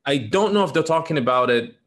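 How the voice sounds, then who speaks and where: A man's voice: fairly high pitch, expressive, fast talker. A man hosting a casual interview, asking long questions full of restarts and fillers. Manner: rambling, many restarts and fillers. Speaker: host.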